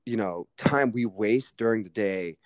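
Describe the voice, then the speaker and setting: A man's voice: fairly low pitch, unhurried, dry recording. Emphatic young man, casual podcast chat. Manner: emphatic, swears casually, heavy fillers. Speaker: podcast guest.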